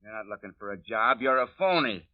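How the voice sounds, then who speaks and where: An actor playing a nervous, hostile male saboteur in an old radio drama, talking in gruff tough-guy slang. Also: fairly low pitch, animated, fairly quick, no echo, close to an everyday voice. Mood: belligerent. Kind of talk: 1940s radio acting. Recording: dull old radio broadcast.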